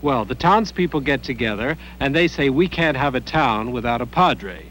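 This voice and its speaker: An actor playing a breezy, wisecracking American journalist, telling an anecdote in colloquial, animated style. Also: male voice, medium pitch, moderate pace, a little hiss or hum, lightly acted, low-quality recording. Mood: amused, casual. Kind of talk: acted documentary-style interview.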